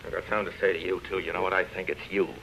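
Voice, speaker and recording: A man's voice: deep voice, little pitch movement, racing, fairly bright tone, a little hiss or hum. A man acting a vain, drunken, washed-up actor in a 1930s radio drama, grandiose and theatrical. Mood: bitter accusing. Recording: muffled vintage radio, music behind.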